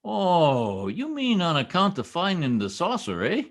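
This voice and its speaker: An actor playing a boastful, folksy small-town American husband in an old-time radio comedy, with cocky, slangy, ungrammatical talk. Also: male voice, on the high side, huge pitch swings, unhurried, heavily acted. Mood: self-important.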